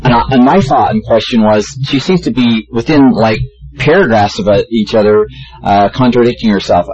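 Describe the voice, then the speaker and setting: A man in the audience asking a question, halting and hesitant, with many restarts. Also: medium pitch, a little mellow, muffled recording.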